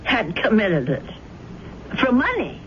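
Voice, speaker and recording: A woman's voice: mid-range voice, huge pitch swings, slow pace, a bit bright, heavily acted. An older woman playing an anxious, conscience-stricken elderly widow in a 1970s radio drama, hesitant and fretful. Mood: earnest, troubled. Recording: dull, noisy 1970s radio recording.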